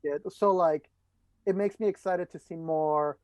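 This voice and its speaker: A man's voice: a little high, steady tone, moderate pace, darkish tone, no echo. A man from El Salvador, talking casually as the host of an interview podcast. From El Salvador, moved to the United States as a child. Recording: dull, dry lo-fi microphone.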